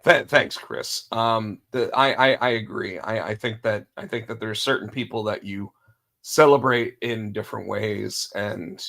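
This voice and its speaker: A man hosting a casual podcast on his own, under the weather and losing his voice, rambling with lots of fillers, restarts and throat clearing. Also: on the low side, a little slow, sharp tone. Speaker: podcast host. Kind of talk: solo casual wrestling podcast talk.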